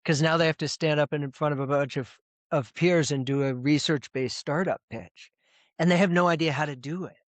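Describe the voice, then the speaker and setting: A man's voice: on the high side, steady tone, fairly quick, no room sound. A middle-aged man speaking casually as an interview guest on a video call, rambling with frequent restarts and repeated words. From United States. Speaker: presentation coach, guest.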